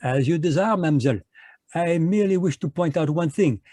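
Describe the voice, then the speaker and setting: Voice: medium pitch, fairly quick, mellow, dry recording, lightly acted. A performer voicing a fussy, polite, shrewd Belgian male detective with a Belgian French accent, speaking courtly and hesitant in a live radio drama read over a video call. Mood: probing.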